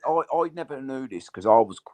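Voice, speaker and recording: A man in his forties rambles through a casual livestream chat, full of fillers and frequent swearing. His voice sits at an ordinary middle pitch. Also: expressive, fast talker, a crowd in the background. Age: 40s. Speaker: guest on a livestream.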